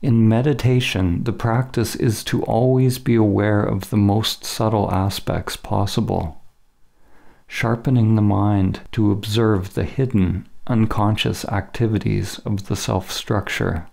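Male narrator, slow delivery, heavy vocal fry, deliberate short phrases, scripted meditation teaching. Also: fairly low pitch, fairly even intonation, darkish tone.

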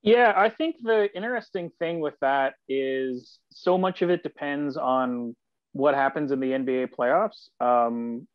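A man's voice: medium pitch, measured pace, no room sound. A man in his forties giving casual sports analysis as a guest on a podcast. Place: indoor home room with bookshelves, video call. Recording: dry, slightly dull video-call audio.

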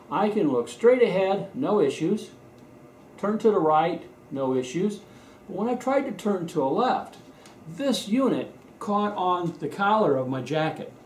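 Middle-aged American man, higher-than-average voice with lively inflection, conversational how-to presenter walking through steps. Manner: conversational, step-by-step explaining. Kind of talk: casual explanatory demonstration. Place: indoors in a home garage workshop. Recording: echoey garage with background noise.

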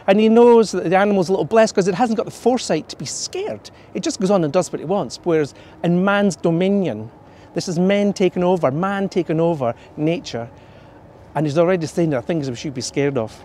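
A middle-aged Scottish tour guide with a fairly high voice speaks outdoors in a snowy city park. He tells stories and reads verse aloud from a folder. A camera picks him up from some distance. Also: brisk, dark tone, a little hiss or hum. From Scotland. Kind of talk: guided tour talk with poetry reading.

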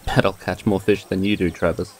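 A man's voice: sits low, flat delivery, fairly quick, slight background noise, close to an everyday voice. A man playing a cocky young rival in a roleplay audio drama, casual and bickering. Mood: needling.

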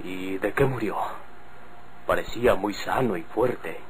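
A low-voiced Mexican rancher, ardent and earnest, in old radio drama style. The vintage recording carries faint noise. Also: male voice, measured pace, low-quality recording. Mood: grave, concerned.

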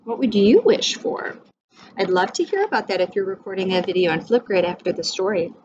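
A middle-aged woman teacher reading a storybook aloud to young children, expressive and addressing them directly. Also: medium pitch, fairly quick. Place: small indoor room with whiteboard. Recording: dull sound, faint background noise.

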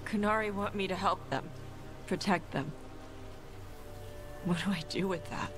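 An actress playing a young horned warrior in a video game, bitter and grieving but determined. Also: female voice, medium pitch, normal speed, close to an everyday voice. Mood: hurt, unsure. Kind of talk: video game dialogue acting. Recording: game dialogue with music behind.

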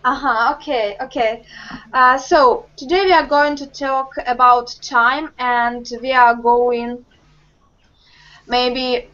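Slow, high-voiced young woman teacher. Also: fairly bright tone. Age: young adult. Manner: slow, patient, encouraging questions. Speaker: English teacher. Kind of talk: online group language lesson. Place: home room with bookshelves. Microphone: webcam on a video call. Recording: muffled video call audio.

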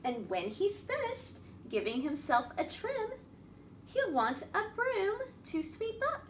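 A middle-aged woman reading a picture book aloud as a children's storytime host, expressive and sing-song, talking directly to young children. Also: very high voice, takes it slowly. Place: indoor room at a wooden table by a window. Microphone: camera across the table. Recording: echoey room with background noise.

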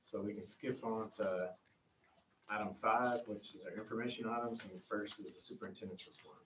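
A man chairing a board meeting, briskly moving through the agenda items. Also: on the low side, fairly even intonation, fairly bright tone. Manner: brisk procedural. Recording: distant, echoey room with faint noise.

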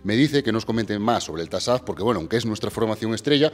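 A man's voice: on the low side, fast. A man in his thirties hosting, speaking straight to viewers with energy, holding a handheld mic in a large gym hall. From Galicia. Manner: energetic, direct address to viewers. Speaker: host. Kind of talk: presenter pitch and interview. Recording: reverberant hall with background music.

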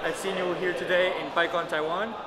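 A man's voice: high-pitched, level tone, fairly quick, low-quality recording. A man in his thirties with a French accent, speaking informally to camera. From France. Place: large bright atrium with people. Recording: very loud crowd behind.

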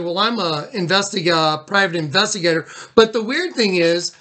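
A man's voice: high-pitched, fairly bright tone. An American man on a recorded phone call, talking in an urgent, insistent way and repeating himself as he goes. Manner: agitated, insistent, repetitive. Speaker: caller, YouTube channel owner.

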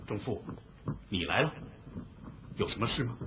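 An actor playing an ambitious ancient Chinese duke in a radio drama, lordly and deliberate, with a husky voice. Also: male voice, lowish voice, expressive, background noise, close to an everyday voice. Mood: questioning. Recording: muffled phone-like radio drama recording.

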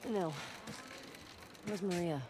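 Blunt young American female survivor, guarded, rather low pitch, realistic game dialogue, slight background noise. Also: takes it slowly. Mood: curt. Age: young adult. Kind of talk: naturalistic video game dialogue. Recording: faint game ambience behind dialogue.

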